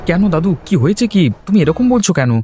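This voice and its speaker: Male actor as young village man, higher pitch, worried, inquisitive, horror cartoon, music behind. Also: very animated, fast, mellow. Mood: worried, puzzled. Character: concerned, questioning young village man, a grandson figure.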